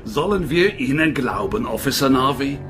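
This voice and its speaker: An actor playing a stern foreign maritime official in a radio drama, formal, with misordered foreign grammar. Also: male voice, mid-range voice, lively intonation, normal speed, lightly acted.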